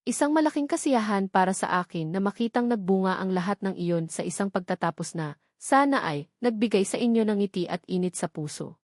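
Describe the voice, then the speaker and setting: A woman narrating a romance story in a soft, heartfelt, measured way, then thanking her listeners as the author. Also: mid-range voice, lively intonation, no echo, clean studio sound.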